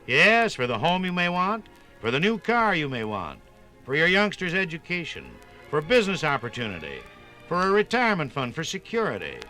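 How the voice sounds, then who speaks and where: A man's voice: on the high side, very animated, normal speed, sharp tone, faint music behind. A man narrating a scripted 1950s radio documentary in an emphatic, polished announcer's delivery. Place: radio studio.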